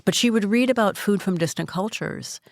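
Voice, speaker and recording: A woman in her sixties with a low, smoky voice talks quietly and thoughtfully, taking her time with stories. She sits in a studio, and the sound is close and dry. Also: fairly quick, no room sound. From Minnesota. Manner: soft-spoken, reflective storyteller. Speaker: podcast guest, journalist. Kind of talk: casual conversation. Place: recording studio.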